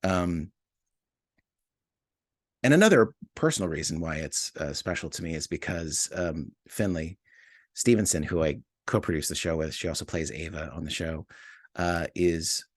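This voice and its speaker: A man's voice: sits low, expressive, normal speed, no room sound, clean studio sound. A man talking casually and reflectively as an interview guest, searching for his words with a hesitant, self-deprecating manner. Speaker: podcast writer and co-producer, interview guest.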